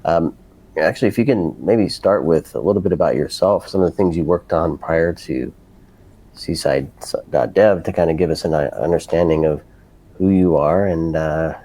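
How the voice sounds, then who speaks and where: Male host, very low pitch, mellow tone, halting and casual with many fillers. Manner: halting, full of "uh" fillers and repeated words. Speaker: podcast host. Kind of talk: casual interview chat.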